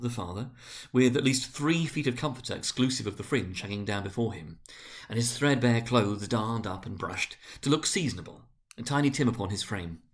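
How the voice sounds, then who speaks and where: A man narrating a classic story as a dramatic reading, in an expressive, theatrical manner. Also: mid-range voice.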